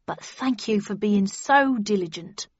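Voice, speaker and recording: A woman voicing a stern but kindly male official in an audiobook, with a brisk, commanding manner. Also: medium pitch, a bit bright, dry recording, dull audio. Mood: pleased, kindly. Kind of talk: audiobook character acting.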